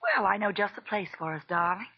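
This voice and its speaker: Husky, flirty radio dame. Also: female voice, mid-range voice, wildly swooping pitch, brisk, dry recording, full character voice. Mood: coy, inviting. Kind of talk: 1940s radio acting. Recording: muffled vintage radio recording.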